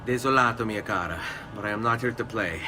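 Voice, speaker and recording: An actor playing a serious, confident young assassin with an Italian accent, speaking tersely in a video game. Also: male voice, on the low side, fast talker, distant mic, noisy background, natural voice. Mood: urgent. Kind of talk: dramatic video game acting.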